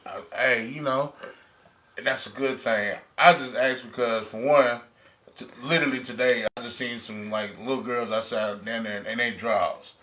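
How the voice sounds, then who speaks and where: A man's voice: mid-range voice, fairly even intonation, normal speed, pushed and bright. An American man co-hosting a podcast, talking casually in informal slang. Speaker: podcast co-host.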